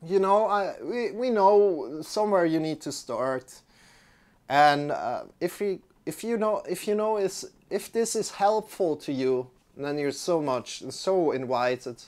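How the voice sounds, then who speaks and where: A man's voice: a little high, animated, unhurried, a little mellow. A young German man, a yoga teacher, sitting on a mat indoors and chatting casually with livestream viewers, friendly and rambling, restarting his sentences often. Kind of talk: casual chat with live viewers.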